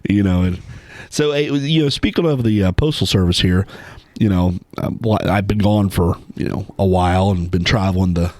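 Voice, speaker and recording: A man's voice: low voice, normal speed, darkish tone, no echo. A man from Ohio co-hosting a casual podcast, telling rambling stories full of fillers. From Ohio, USA. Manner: rambling, filler-heavy storyteller. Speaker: podcast co-host. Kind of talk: casual chat.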